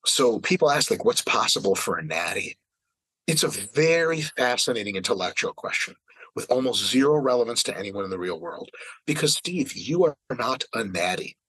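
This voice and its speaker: A man's voice: medium pitch, animated, normal speed, pushed and bright, husky, no echo, poor audio. A man in his late thirties in casual podcast chat, ranting with emphatic, profane energy. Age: 30s. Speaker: fitness podcast regular.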